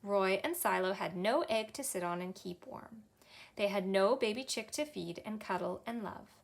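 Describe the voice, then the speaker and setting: A woman in her thirties with a Canadian accent, a children's librarian reading a picture book aloud in a cheerful, expressive storytime manner. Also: mid-range voice, moderate pace, gravelly. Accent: Canadian English. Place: small indoor library room.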